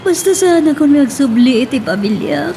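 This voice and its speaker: A woman with an Ilocano Filipino accent playing a troubled, remorseful married woman in an audio melodrama, halting and pleading. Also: high voice, expressive, warm tone, slight background noise. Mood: troubled, tearful. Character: distressed pregnant married woman, remorseful, confessing an affair. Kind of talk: Ilocano radio-style melodrama acting.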